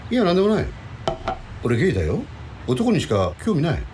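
A man playing a playful, weary middle-aged villain actor in a radio drama, swinging theatrically between low murmurs and high whines. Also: wildly swooping pitch, brisk, darkish tone, a little hiss or hum. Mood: teasing, breezy.

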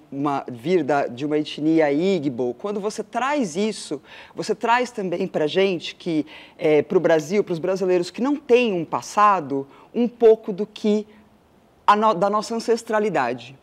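Hesitant Brazilian woman journalist. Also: deep voice. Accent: Brazilian Portuguese. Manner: hesitant, with many fillers and repetitions. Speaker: journalist interviewer. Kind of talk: television studio interview. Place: television studio.

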